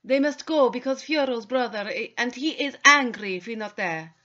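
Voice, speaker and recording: A woman's voice: on the high side, expressive, moderate pace, pushed and bright, pitched above the performer's own voice. A woman voicing an anxious, kind housemaid who speaks broken English. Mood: worried. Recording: dull lo-fi recording.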